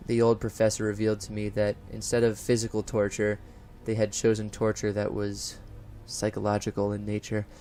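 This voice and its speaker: A man playing a haunted college professor unraveling into paranoid dread, narrating a horror audio drama in a formal, deliberate, theatrical manner. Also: fairly low pitch, natural voice. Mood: grim dread. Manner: formal, deliberate, theatrical, swelling from hushed to frantic. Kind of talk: first-person gothic horror audio narration. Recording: music behind the voice.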